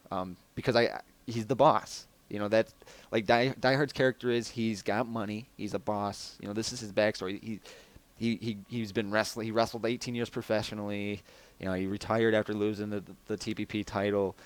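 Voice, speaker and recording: A man with a deep, raspy baritone hosts a casual podcast and answers listener questions in a loose, rambling way. His voice sits low, and the headset recording sounds close and dry. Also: no room sound. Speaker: podcast host.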